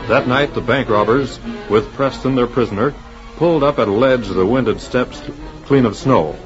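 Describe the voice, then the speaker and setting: A man's voice: mid-range voice, normal speed. A man narrating an adventure serial in dramatic, scripted 1940s radio style. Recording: muffled 1940s radio recording. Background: music.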